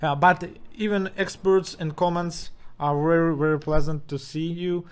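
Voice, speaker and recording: A man speaking English with a strong Russian accent, commenting haltingly over footage with many fillers and restarts. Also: fairly high pitch, fairly even intonation, smooth. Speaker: channel host.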